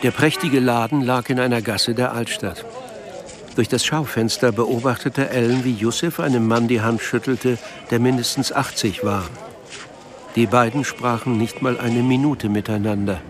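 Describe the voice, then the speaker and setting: A man's voice: mid-range voice, steady tone, normal speed, crowd noise, natural voice. A man reading scripted literary narration as the sober storyteller of a radio play. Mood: sober, measured.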